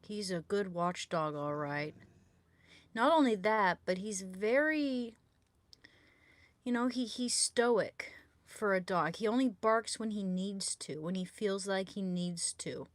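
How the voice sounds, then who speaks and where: A woman's voice: medium pitch, slow pace, no echo. A woman chatting casually and rambling on a livestream, unpacking things and talking to her dog.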